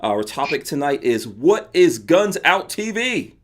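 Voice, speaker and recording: A man's voice: on the high side, very animated, measured pace. A man with a New York accent hosting a livestreamed podcast, chatting casually and laughing often. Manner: laughs often, loose and joking. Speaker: podcast host.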